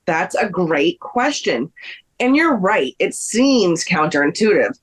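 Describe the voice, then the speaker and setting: A woman's voice: on the low side, big pitch swings, slow pace, heavily acted. A woman playing a polite, helpful tour guide in a scripted table read. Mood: explaining.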